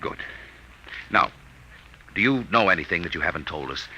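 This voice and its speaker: Forceful army major. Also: male voice, deep voice, lively intonation, normal speed, heavily acted. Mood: urgent, persuasive. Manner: forceful, commanding. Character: urgent, persuasive army major on a manhunt. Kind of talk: vintage radio drama acting. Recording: slightly noisy old radio recording.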